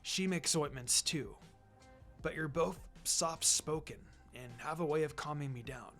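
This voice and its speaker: A man's voice: a little high, a little slow, fairly bright tone, soft background music, voice pushed down. A man voicing a hot-headed, worried teenage ninja boy in a story reading, with informal, earnest delivery.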